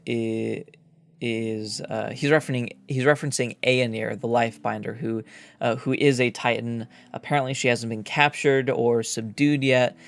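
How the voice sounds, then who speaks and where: A man's voice: medium pitch, vocal fry. A man giving casual, explanatory commentary as a gaming video host, feeling his way through his phrases with some repetition. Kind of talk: casual game lore commentary.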